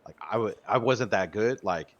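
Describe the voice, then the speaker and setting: Casting: low-voiced man in his 30s, loose rambling podcast talk, muffled lo-fi sound. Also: normal speed. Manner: rambling, stammering restarts, swears freely. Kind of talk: casual gaming chat. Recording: muffled lo-fi audio.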